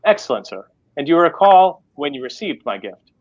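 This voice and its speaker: A man's voice: a little high, big pitch swings, normal speed. Audiobook AI butler, read by a man: male, refined American, prim, sardonic, slightly lo-fi sound. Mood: prim, exacting. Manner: formal, exacting, sardonic. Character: refined, dry, scolding AI butler, male, looks sixties.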